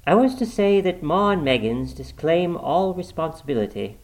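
Narrator as a stiff company messenger, raised pitch. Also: sing-song, moderate pace, a little mellow. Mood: formally detached. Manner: stiff, deferential, official. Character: formal, apologetic company messenger bearing bad news, male.

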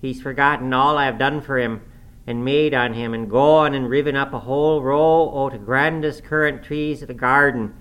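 A man's voice: medium pitch, fairly even intonation, moderate pace, a bit bright, pitched above the performer's own voice, natural voice. A man voicing an aggrieved old male servant in an audiobook, lamenting in dialect with bitter self-pity. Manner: dialect speech, bitterly wailing. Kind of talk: audiobook character acting. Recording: clearly noisy recording.

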